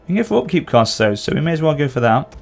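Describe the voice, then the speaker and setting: A man's voice: a little high, big pitch swings, quick pace, gravelly, faint music behind. A man with a sore throat and occasional cough, casually commenting as he plays a strategy game. He thinks aloud and corrects himself as he goes. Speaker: gameplay channel host.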